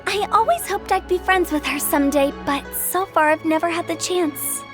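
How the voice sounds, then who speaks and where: Sweet, high-voiced hopeful young fan. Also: female voice, moderate pace, over music. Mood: hopeful, admiring. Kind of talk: anime video game voice acting.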